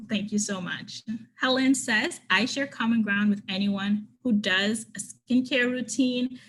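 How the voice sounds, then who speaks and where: A woman's voice: mid-range voice, a bit bright, low-quality recording. A woman from Trinidad giving an online conference workshop, earnestly reading participants' comments and responding to each one. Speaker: conference presenter.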